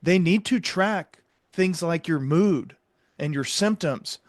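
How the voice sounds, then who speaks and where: A man speaking as a medical provider and interview host, explaining his views in an earnest, measured, conversational way. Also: high-pitched, dry recording, clean studio sound. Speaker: psychiatric provider and podcast host.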